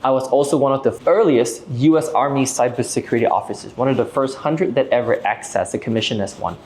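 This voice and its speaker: A man around thirty from Malaysia giving an explanatory business pitch to camera, direct and persuasive, often checking in with short tags. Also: quick pace, a little mellow, a little hiss or hum. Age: late 20s to early 30s. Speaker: video host and AI agency owner.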